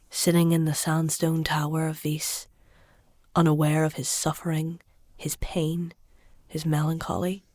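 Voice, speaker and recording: A woman playing a learned, stubborn alchemist-healer in a dark fantasy audio drama, measured and dryly sardonic as she explains. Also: deep voice, dry recording, clean studio sound.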